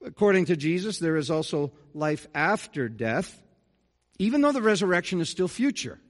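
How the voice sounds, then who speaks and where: Man, middle-aged, pastor, earnest sermon, slightly raised pitch, long hall reverb. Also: sing-song, moderate pace. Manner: earnest, conversational preaching with folksy asides.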